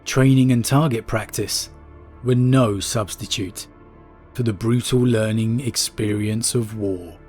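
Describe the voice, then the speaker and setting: A man with a stuffy nose from a cold, hosting a lore channel and reading a wargame story aloud in a measured, steady storyteller's delivery. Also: fairly low pitch, soft background music. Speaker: YouTube lore channel host.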